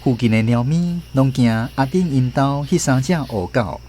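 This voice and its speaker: A man with a Taiwanese accent narrating a scripted radio storybook drama in an expressive storytelling manner. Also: mid-range voice, moderate pace, a little hiss or hum.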